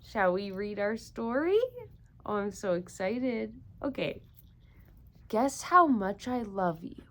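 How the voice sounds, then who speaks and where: A woman's voice: medium pitch, animated, slow pace, fairly bright tone. A woman doing an interactive storytime for young children, encouraging them with repeated questions. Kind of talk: interactive picture-book read-aloud for young children.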